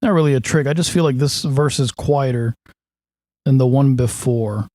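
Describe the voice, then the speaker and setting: A man's voice: mid-range voice, moderate pace, mellow, no echo, studio quality. A man casually talking through a song mix as he works, thinking aloud in a loose, offhand way with lots of fillers. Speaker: mixing engineer. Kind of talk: casual walkthrough of mixing a song.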